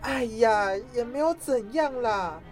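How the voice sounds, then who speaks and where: A dismissive schoolgirl classmate played by a woman in an audio drama. She teases in a high voice with slow, drawn-out words over faint music. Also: expressive. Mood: teasing.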